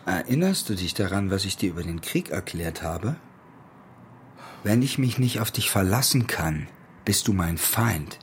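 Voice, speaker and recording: A man's voice: deep voice, very animated, normal speed, slight background noise. An actor playing a menacing, controlling young ex-soldier in a radio drama, curt and commanding, with bitterness in his manner. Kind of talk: naturalistic German radio drama acting.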